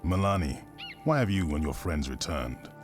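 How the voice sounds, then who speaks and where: An actor playing a grave, stern elder guardian in a video game cutscene, speaking solemnly. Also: male voice, rumbling low, expressive, normal speed, faint music behind.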